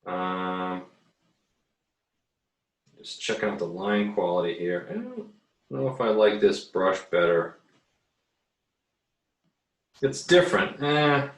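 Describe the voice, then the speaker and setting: A man giving a casual drawing tutorial as an art teacher, thinking aloud in a halting, muttering way while he works. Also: sits low, lively intonation. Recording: roomy echo, distant microphone.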